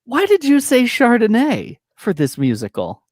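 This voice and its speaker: Lively young man, playful and dry-sounding recording. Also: extremely high pitch, normal speed, no echo. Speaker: co-host of a musical theatre podcast. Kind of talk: casual, joking chat on a livestreamed quiz show. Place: indoor room, video call.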